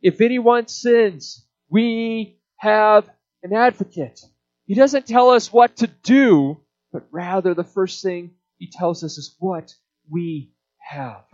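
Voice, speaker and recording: A man preaching a sermon in an emphatic, deliberate expository manner, repeating key points, on a dull band-limited recording. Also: high voice, a little mellow.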